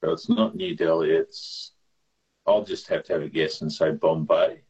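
Deep, husky male caller, polite, muffled phone sound. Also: steady tone, normal speed, darkish tone.

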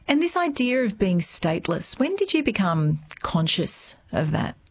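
A woman hosting a radio interview, asking gentle probing questions and often rephrasing them. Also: mid-range voice, sing-song, normal speed, husky. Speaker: radio host.

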